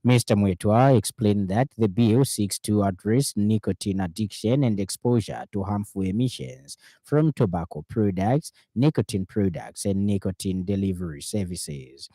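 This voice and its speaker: Mellow low-voiced Zambian male newsreader. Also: normal speed, hardly any pauses, no room sound. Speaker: radio news presenter. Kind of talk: formal scripted news reading. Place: radio studio. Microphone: close studio microphone.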